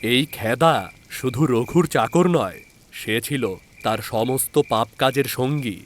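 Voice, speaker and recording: Slow, deliberate male storyteller. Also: medium pitch, a little hiss or hum. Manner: measured, deliberate storytelling with pauses. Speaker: story narrator and channel host. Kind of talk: dramatic scripted narration of a horror story.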